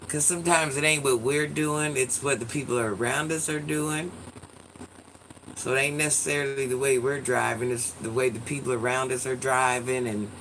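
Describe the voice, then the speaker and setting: Gravelly, hoarse man chatting live. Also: medium pitch, fairly even intonation, normal speed, fairly bright tone, a little hiss or hum, low-quality recording. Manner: sassy, chatty, addresses viewers by name. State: hoarse from illness. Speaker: livestream host. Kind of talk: casual livestream chat reading comments.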